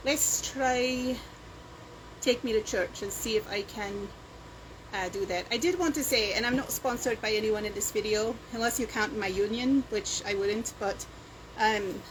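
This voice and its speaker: A woman with a Scottish-sounding accent chatting casually in a rambling, self-deprecating way, as a home vlogger on a handheld camera. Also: on the high side. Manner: meandering, self-deprecating asides. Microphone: handheld camera or phone. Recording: clear background noise, handheld home video.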